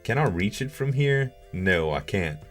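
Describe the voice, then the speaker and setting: A man's voice: on the low side, big pitch swings, unhurried, soft background music. A man giving casual gaming commentary as a video host, rambling and thinking aloud.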